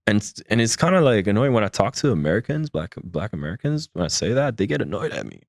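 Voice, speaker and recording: A man's voice: fairly low pitch, fast talker, creaky, no echo, clean studio sound. A young man from Switzerland, a podcast guest chatting casually and acting out the voices of people in his stories. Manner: animated storytelling, acts out voices of others. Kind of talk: casual chat.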